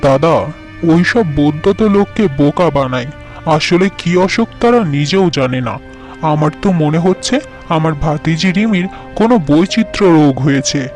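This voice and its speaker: Scheming, persuasive uncle for a fairy-tale cartoon, played by a man; slightly high voice over music. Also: lively intonation, normal speed, a little mellow, close to an everyday voice. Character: scheming uncle persuading his elder brother with tales.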